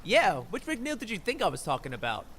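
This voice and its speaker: Man acting cocky young male gang kid, high bright voice, excitable, audiobook character, faint noise. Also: brisk, heavily acted. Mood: incredulous.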